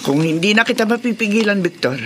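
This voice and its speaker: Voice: mid-range voice, expressive, fast talker, noisy background, natural voice. A performer with a Filipino accent playing an anxious, protective mother of a grown son in a horror radio drama, fretful and emphatic. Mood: worried.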